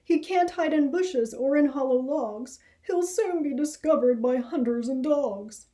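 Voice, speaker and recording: A solemn storybook father rabbit, played by a woman, full of worry. The words come slowly and with firm emphasis. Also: high voice, mellow, pitched above the performer's own voice. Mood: worried.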